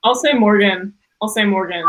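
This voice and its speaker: A woman's voice: medium pitch, moderate pace, crowd noise. A woman who acts in audio drama, chatting casually and enthusiastically. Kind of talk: casual chat.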